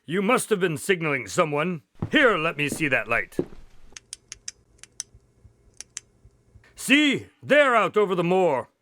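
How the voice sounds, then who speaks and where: A man playing an earnest, observant doctor who investigates a case, speaking in a measured, explanatory way in an audio drama. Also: a little high, sing-song, bright, forceful tone, no room sound. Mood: firm, questioning.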